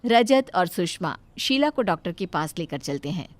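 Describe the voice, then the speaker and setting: A woman narrating a cartoon moral story in a lively, expressive storybook style. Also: fairly low pitch, normal speed, no echo.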